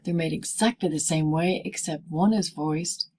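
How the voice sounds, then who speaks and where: A woman presenting a scripted pronunciation lesson as a teacher, speaking in deliberate, clearly segmented phrases. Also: low-pitched, darkish tone. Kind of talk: scripted instructional narration in easy English.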